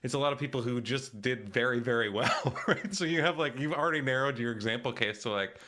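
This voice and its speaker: Male co-host, bright forward voice at medium pitch, casual and halting interview delivery.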